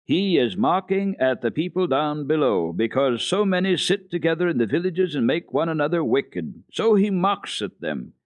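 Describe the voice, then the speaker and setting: A man playing a wise, gruff old mountain grandfather who explains things in a measured, firm way, from an old radio recording. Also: a little high, sing-song, no room sound, voice pushed up. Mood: wild scorn.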